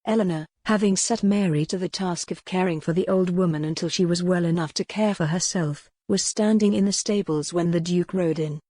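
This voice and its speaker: A woman with a lowish voice narrates a historical romance audiobook. Her reading is measured and articulate, in a very dry recording with hardly any room sound. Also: dark tone, dry recording.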